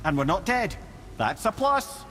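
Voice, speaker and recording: A man's voice: high-pitched, sing-song, a little slow, sharp tone, slight background noise, exaggerated voice. An actor with a Scottish accent playing a witty, learned, talkative advisor in a video game, wry and eloquent in his delivery. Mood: teasing, amused. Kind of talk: video game cinematic acting.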